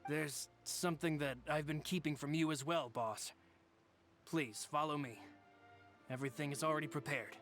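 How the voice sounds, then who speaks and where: An actor playing a loyal, polite male aide in a video game, speaking gently and courteously. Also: a little high, fairly even intonation, normal speed, faint music behind, lightly acted. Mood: reassuring.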